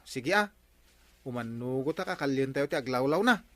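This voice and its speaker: A man's voice: medium pitch, animated, moderate pace, fairly bright tone, no echo, lightly acted. A man with an Ilocano accent playing a doubtful but agreeable village farmer in a Filipino comedy radio drama.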